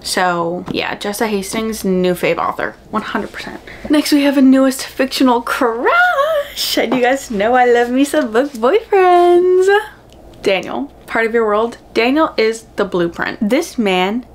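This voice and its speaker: A woman's voice: on the high side, sing-song, measured pace. A young woman chatting casually to camera as a book vlogger, gushing and slangy, with dramatic emphasis on her words.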